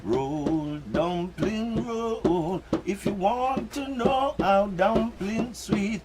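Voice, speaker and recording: A man's voice: high-pitched, extremely slow, loud music behind the voice. An older man with a Jamaican accent, telling rambling stories in patois at a press conference. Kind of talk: relaxed storytelling.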